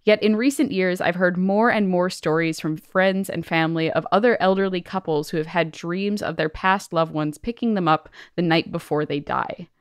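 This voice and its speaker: A woman's voice: lowish voice, normal speed, few pauses, clear, resonant tone, dry recording, clean studio sound. A woman co-hosting a podcast, reading listener stories aloud and chatting in a casual, colloquial way. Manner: colloquial, peppers speech with "like". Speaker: podcast co-host.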